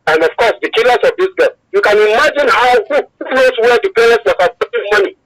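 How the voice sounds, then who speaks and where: Animated Nigerian man with a high voice. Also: fast talker. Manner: emphatic, repeats phrases. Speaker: phone-in caller, broadcast journalist. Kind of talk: impassioned opinion on a phone-in. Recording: phone line into radio broadcast.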